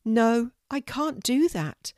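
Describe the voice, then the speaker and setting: A woman voicing a kind young girl who speaks gently and soothingly. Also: mid-range voice, big pitch swings, unhurried, no room sound, studio quality. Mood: gentle.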